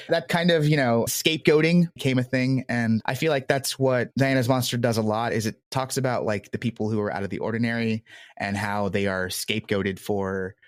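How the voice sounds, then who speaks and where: A man with a medium-pitched voice talks as an interview guest about his creative work. He is reflective and conversational, recorded with very little room sound. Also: steady tone, normal speed, no room sound, studio quality. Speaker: podcast creator being interviewed.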